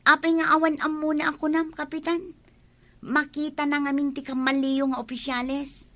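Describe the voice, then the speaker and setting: A feisty village woman in a comedy radio drama, acted by a woman. Her voice is high-pitched and piercing. She complains pointedly and talks back. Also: flat delivery, moderate pace, sharp tone. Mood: indignant.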